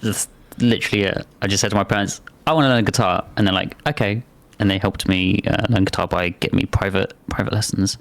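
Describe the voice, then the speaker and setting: A man in his thirties, a guest musician, talking in a relaxed, plainspoken way. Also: on the low side, quick pace, gravelly, dry recording. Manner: relaxed, plainspoken, with many fillers. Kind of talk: casual interview chat.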